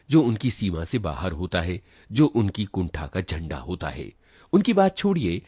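A man narrates a literary short story in a fairly low, husky voice. His delivery is dramatic and reflective, with very lively swings in pitch, as he reads in the first person. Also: no room sound. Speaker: story reader.

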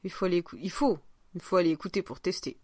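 A woman's voice: on the low side, fast talker, mellow, no echo. A woman chatting casually as a podcast host, often letting her thoughts trail off. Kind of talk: casual one-on-one chat.

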